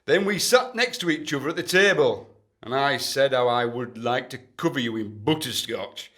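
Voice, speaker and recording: An actor playing a pompous, pushy duke in a comic period radio play, wooing in florid, melodramatic gushes. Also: male voice, medium pitch, lively intonation, moderate pace, bright, forceful tone. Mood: gushing, ardent.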